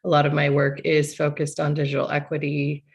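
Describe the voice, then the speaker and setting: A woman's voice: sits low, completely flat delivery, brisk, vocal fry, slightly rough. A woman working as a city technology sector advocate, introducing herself casually on a panel with some hesitation.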